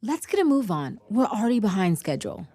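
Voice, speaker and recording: Bossy, animated competitive teen girl. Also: medium pitch, a little fast, slightly rough, no echo. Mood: impatient, determined. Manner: driven, insistent. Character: fiercely competitive, bossy high-school girl team captain. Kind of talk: scripted audio drama acting.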